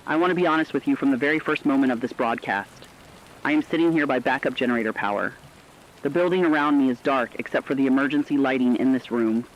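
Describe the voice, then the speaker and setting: A man's voice: medium pitch, fast talker, slight background noise, lightly acted. A man playing the earnest, solemn host of a last surviving radio station, telling the story as scripted dramatic broadcast narration. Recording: slightly dull lo-fi radio sound.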